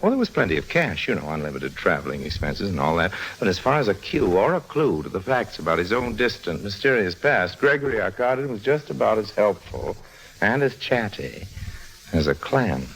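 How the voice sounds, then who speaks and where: A wry small-time crook from a 1950s radio drama. His voice is low and gravelly, swinging freely in pitch. He talks in slangy, sardonic, nonchalant fashion. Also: very animated, brisk, fairly bright tone, poor audio. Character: wry, cheeky American small-time crook turned hired investigator.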